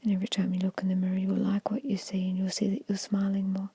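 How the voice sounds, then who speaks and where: A woman guides an ASMR meditation in a very breathy whisper. Her tone is soft and mellow, with little rise or fall in pitch. She delivers gentle, repeated hypnotic suggestions in a hushed way. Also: completely flat delivery, fast, dry recording. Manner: hushed, repetitive, gently instructing.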